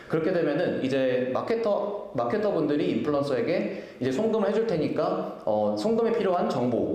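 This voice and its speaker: A man's voice: on the high side, mellow. A man giving a business conference presentation in a polite, formal explaining way, with frequent hesitations and restarts, in an echoey event hall. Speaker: product owner presenting at a company event. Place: indoor event venue, presenting to an audience.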